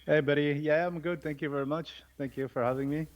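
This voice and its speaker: A man's voice: a little high, fairly even intonation, brisk. A man from France, a podcast guest talking casually over a remote call, with lots of fillers and restarts. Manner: hesitant, many fillers and restarts, laughs often. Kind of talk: casual interview chat. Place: home in Bali.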